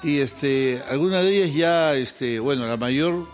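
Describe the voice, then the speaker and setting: A male host leads a casual interview in a somewhat high voice. He teases his guest and laughs easily, with faint music playing in the background. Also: a little slow. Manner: joking, playful, laughs easily.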